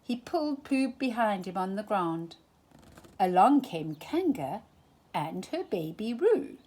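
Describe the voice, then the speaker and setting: A woman reading a children's picture book aloud for storytime, with an expressive, animated delivery. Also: medium pitch, slow. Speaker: storytime reader.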